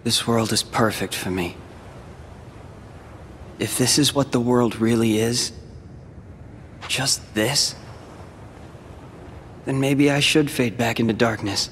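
A man with an American accent playing a brooding, world-weary teenage warrior in a video game, speaking in a measured, reflective way. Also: mid-range voice, a bit bright, slight background noise. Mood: resigned. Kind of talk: video game cutscene acting.

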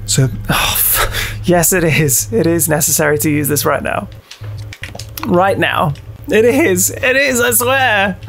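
Creaky-voiced British man gaming. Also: sits high, slow, a bit bright, soft background music. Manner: playful, sweary, repeats phrases in sing-song. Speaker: let's play host. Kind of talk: casual gameplay commentary.

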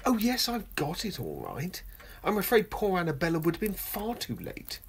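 A woman playing a suave, gloating male professor and secret spy in a radio play, pompous mock courtesy and a husky, breathy voice. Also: fairly low pitch, huge pitch swings, moderate pace, a little hiss or hum. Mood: quietly menacing. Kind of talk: homemade radio play acting.

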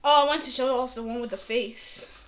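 A woman's voice: on the high side, brisk, fairly bright tone. A young woman chatting casually and playfully as she shows off a shopping haul on camera in a small room. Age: young adult. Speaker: vlogger showing a shopping haul. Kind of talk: casual unboxing chat. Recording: noisy room with handling clicks.

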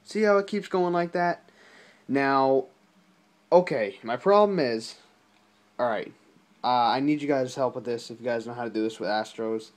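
A young man talking casually and haltingly to viewers, as in an informal gaming vlog. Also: mid-range voice. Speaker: gaming video creator. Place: small indoor room at a desk.

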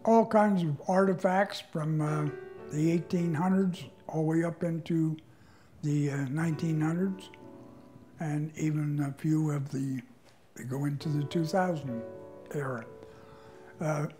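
A man in his eighties gives a documentary interview in a dark, mellow voice. He phrases things in a halting, measured way, with faint music behind him. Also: fairly high pitch, fairly even intonation. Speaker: museum treasurer, interviewee. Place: indoors, wood-panelled room. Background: faint instrumental music.

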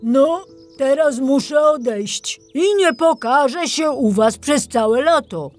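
A woman acts out a kindly bear from a storybook. The speech is slow, solemn and deliberate, raised above plain narration, with music playing behind it. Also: sits high, expressive, voice pushed up. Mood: solemn warning. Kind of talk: acted storybook dialogue.

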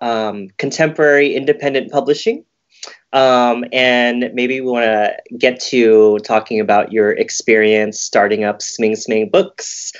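Man co-host asking on a video call. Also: mid-range voice. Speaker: podcast co-host. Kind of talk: casual interview questions. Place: home office, indoors. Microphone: headset on video call. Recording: muffled video-call audio.

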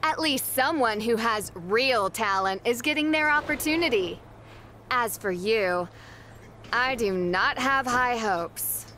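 A woman's voice: on the high side, lively intonation, slow, pushed and bright, slight background noise, exaggerated voice. A woman voicing a cold, dismissive rival wrestler in a video game. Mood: snide.

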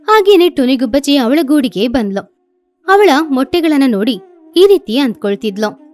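A woman's voice: high-pitched, very animated, moderate pace, a little mellow. A woman narrating a scripted children's story.

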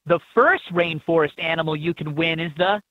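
A woman with a husky voice reading scripted prompts as an encouraging guide in a children's game, on dull toy audio. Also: low-pitched, moderate pace, no echo.

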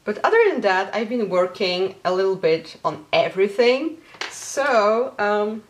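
A Polish-born woman in her thirties chats slowly and casually to the camera. She hosts a knitting podcast, filling gaps with small sounds and soft laughs. She is seated in a small home craft room. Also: medium pitch, lively intonation. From Poland. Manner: chatty, with many fillers and small laughs.

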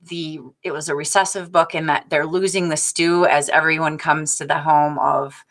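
A woman's voice: low-pitched, steady tone, normal speed, fairly bright tone. A middle-aged woman explaining things informally as a teacher in a discussion group, on a video call from a small office. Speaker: teacher sharing a picture book in a discussion group. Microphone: computer mic on a video call.

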